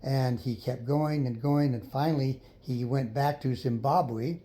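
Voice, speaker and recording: An older man tells a made-up children's tale as a family storyteller. His voice is mellow and relaxed, with a middling pitch. He is folksy and unhurried, leaving gaps for listeners to chime in. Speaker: grandfather storyteller.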